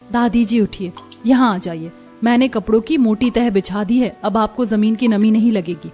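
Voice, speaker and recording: A woman voicing a practical, caring young mother in a cartoon story, gently firm. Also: on the high side, normal speed, faint music behind, lightly acted. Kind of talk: Hindi cartoon story acting.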